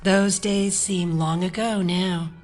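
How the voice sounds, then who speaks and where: An actress playing an older, unhurried small-town neighbor with a knowing, cryptic manner in an audio drama. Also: female voice, on the low side, fairly even intonation, a little mellow, soft background music. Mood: knowing, hospitable. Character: eerily calm gray-haired small-town neighbor woman who reads tea leaves.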